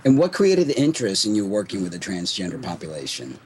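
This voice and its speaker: A man's voice: low voice, wildly swooping pitch, fast talker, a little mellow, slight background noise. A male interviewer asking a surgeon questions in a supportive way.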